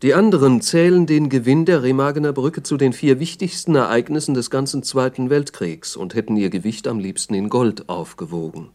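A man with a medium-pitched voice narrates in the manner of a 1960s German radio documentary drama. His delivery is formal and factual, listing details with emphasis. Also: brisk, hardly any pauses, a little mellow.

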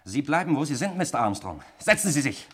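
A man's voice: on the high side, big pitch swings, fairly quick, fairly bright tone, husky, faint music behind, full character voice. An actor playing a sardonic, menacing nephew in a 1960s radio drama, needling and commanding as he holds a suspect at gunpoint. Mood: threatening, commanding. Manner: mocking, commanding, needling address.

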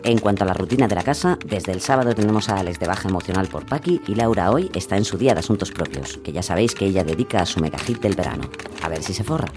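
A man's voice: sits low, lively intonation, fast, over music. An actor playing a wry, colloquial man reading out his memo to colleagues in a comedic audio drama. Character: casual, wry man dictating an office memo.

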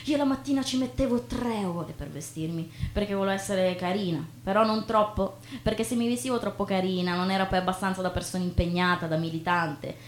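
Chatty naive young woman, played by a stage actress, expressive storytelling, medium pitch, background hum. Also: brisk, few pauses, natural voice. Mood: chatty, self-mocking. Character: chatty, inquisitive, self-deprecating young woman, breezily naive. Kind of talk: theatrical monologue and dialogue. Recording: noticeable background noise with hum.

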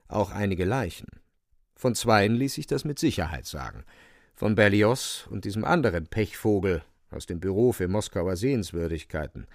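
A wry male storyteller narrates a radio play with theatrical emphasis. His low voice is gravelly and swings widely in pitch, recorded very dry and close. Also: a little mellow, no room sound.

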